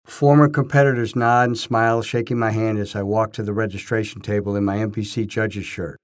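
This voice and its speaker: A man's voice: lowish voice, fairly even intonation, fairly quick. A man reading scripted first-person narration, telling his own experiences as a narrator. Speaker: narrator, bodybuilding judge.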